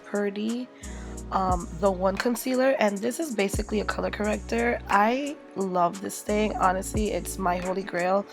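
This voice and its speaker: Hoarse young woman, chatty tutorial narrator, over loud background music. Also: medium pitch, moderate pace. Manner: chatty, playful, narrating each step. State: losing her voice from a cold. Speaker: makeup artist.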